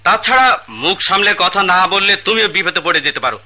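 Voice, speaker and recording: A stern police officer. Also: male voice, sits high, fast, pushed and bright. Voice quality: stern, commanding. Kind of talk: Bengali radio play acting.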